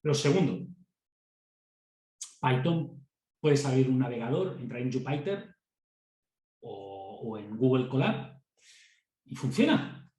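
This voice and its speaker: A man's voice: mid-range voice, lively intonation, slow pace, long pauses, darkish tone, roomy sound. A man with a Castilian Spanish accent giving a university class, talking conversationally, repeating words as he thinks and checking in with students. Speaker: university lecturer. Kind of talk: classroom lecture.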